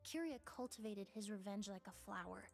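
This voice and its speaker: An actress playing a composed, reflective young woman perfumer in video game dialogue, speaking in a measured, articulate way. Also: mid-range voice, gravelly, close to an everyday voice. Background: music.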